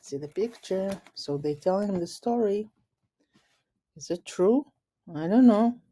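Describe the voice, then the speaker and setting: Slow-paced woman reading aloud, very soft-toned. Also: medium pitch, animated, slightly rough. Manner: slow, deliberate, explaining words simply. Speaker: teacher reading a picture book aloud. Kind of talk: expressive read-aloud storytelling with explanatory asides for children.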